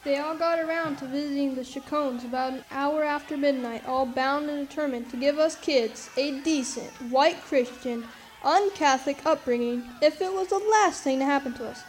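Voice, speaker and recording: A woman plays an orphan kid narrating a Western radio play. The voice is high, folksy and wry, on a live stage recording with faint noise. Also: moderate pace, close to an everyday voice, rough recording. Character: orphan child recounting the night of the raid. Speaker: narrator and actor in radio drama. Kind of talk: storytelling radio drama narration.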